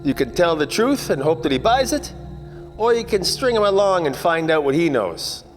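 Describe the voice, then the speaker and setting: A man playing a wisecracking, world-weary 1940s private detective, narrating a radio noir parody in deadpan hard-boiled style. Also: a little high, moderate pace, in a large hall, music in the background. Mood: sardonic.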